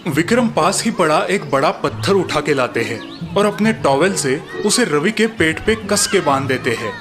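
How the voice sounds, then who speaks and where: A man's voice: fairly high pitch, expressive, moderate pace, music in the background. A man narrating a cartoon story in a scripted storytelling style.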